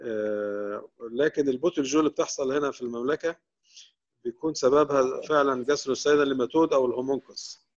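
A man with an Egyptian Arabic accent, a veterinary professor answering questions during an online lecture with many quick restarts. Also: medium pitch. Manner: explanatory, rapid, with frequent restarts. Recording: dull, lo-fi online call audio.

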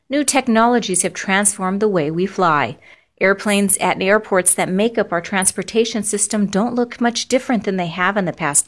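A woman with an American accent reading scripted instructional narration in a measured, formal, explanatory way. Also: medium pitch.

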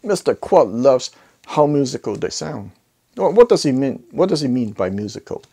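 A middle-aged man presents a review in a conversational style. His pitch is average for a man, and he leans on key words, repeating them for emphasis. He speaks into a clip-on mic. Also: big pitch swings, moderate pace, mellow, husky. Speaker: host of an audio gear review channel. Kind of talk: casual product review to camera. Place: living room listening space with acoustic wall panels. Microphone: clip-on lapel mic.

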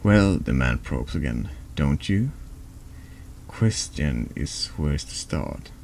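A man narrates a horror text game in an extremely low voice full of gravelly fry. He reads slowly in an ASMR style, now and then tripping over longer words. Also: expressive, darkish tone, a little hiss or hum. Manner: halting reading, stumbles over longer words. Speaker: streamer reading a text horror game aloud. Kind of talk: slow scripted narration, soft horror ASMR.

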